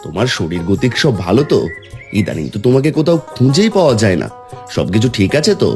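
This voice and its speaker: A poor young village husband in a cartoon, voiced by a man, with a mid-pitched, lively voice. He defends himself, aggrieved and pleading. Light music sits underneath. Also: quick pace.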